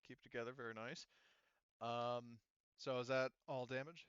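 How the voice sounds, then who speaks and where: A man's voice: medium pitch, fairly even intonation, moderate pace, a bit bright, clean tone, no echo, dull audio. A man casually running a tabletop game as game master, thinking aloud and often muttering through the steps to himself.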